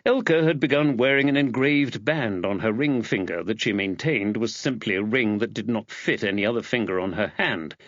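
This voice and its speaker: A man's voice: mid-range voice, fairly bright tone, dry recording, lo-fi sound. A man reading a mystery novel aloud as scripted audiobook narration.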